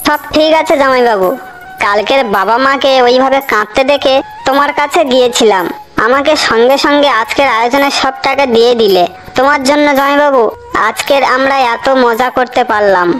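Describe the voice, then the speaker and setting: A woman's voice: sits high, a little fast, a bit bright, faint music behind, low-quality recording. A woman voicing an eager, kind-hearted village schoolboy in a cartoon, with an earnest, childlike manner. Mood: grateful. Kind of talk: Bengali cartoon voice acting.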